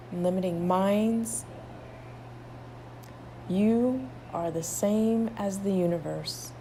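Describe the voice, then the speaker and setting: A woman leading a guided meditation, soothing, with drawn-out phrases and long pauses between them. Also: medium pitch, dark tone, a little hiss or hum.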